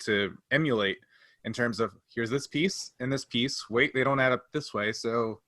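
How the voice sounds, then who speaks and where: A man's voice: medium pitch, moderate pace, pushed and bright, no echo. A man talking casually as a podcast host and game master, explaining things in an informal way.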